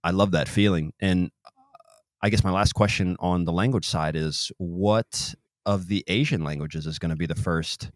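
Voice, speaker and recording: A man's voice: low-pitched, normal speed, no echo, studio quality. A man hosting a podcast, talking casually with many restarts and half-finished phrases. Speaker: podcast host. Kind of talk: casual interview chat.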